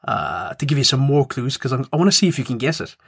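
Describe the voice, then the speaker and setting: Give me a measured man, mid-pitched and recorded with no room echo. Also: very animated, vocal fry, slightly rough, clean studio sound. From Ireland, Cork. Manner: measured, informative, builds up clues. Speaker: podcast co-host, screenwriter. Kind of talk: casual chat with storytelling.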